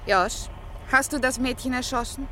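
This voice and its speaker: An actress playing a resolute, loyal accomplice in a radio crime play. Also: female voice, high voice, moderate pace, bright, forceful tone. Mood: earnest. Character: resolute, loyal female accomplice of a hunted man. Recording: clear background noise.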